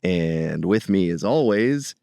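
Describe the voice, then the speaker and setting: A man with a lowish voice hosting a podcast, talking very slowly in a relaxed, jokey way. The sound is dry and close. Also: gravelly, dry recording. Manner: relaxed, jokey, laughs along. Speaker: podcast host. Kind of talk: casual chat.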